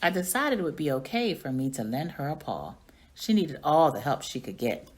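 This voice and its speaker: A woman reading a children's story aloud in an expressive storytelling manner. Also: low-pitched, moderate pace. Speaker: reading coach or teacher reading aloud.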